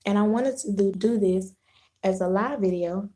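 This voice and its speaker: A hoarse woman with a sore throat, hosting a casual live video chat with her viewers, rambling and restarting her sentences. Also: medium pitch, background noise. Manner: unhurried, rambling, clears throat, frequent restarts.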